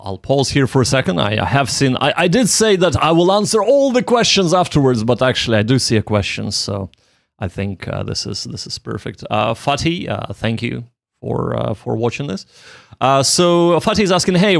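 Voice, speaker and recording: A man's voice: medium pitch, vocal fry, no echo, studio quality. A man livestreaming in a casual, somewhat hesitant way, often repeating his words as he goes. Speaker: livestreamer studying Japanese. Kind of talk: casual livestream chat with Japanese reading practice.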